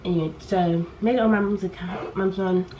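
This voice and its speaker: A young woman in her late teens, chatting candidly to camera in a rambling way. She talks loudly on purpose and keeps trailing off and restarting her sentences. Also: on the low side, fairly even intonation, fairly quick, muffled recording. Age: young adult. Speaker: vlogger. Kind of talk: casual chat to camera. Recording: poor audio with faint background noise.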